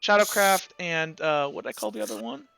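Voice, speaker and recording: Female game master, bright voice, casual improvised narration with frequent self-corrections. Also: lowish voice, expressive, brisk, creaky, clean tone, dry recording.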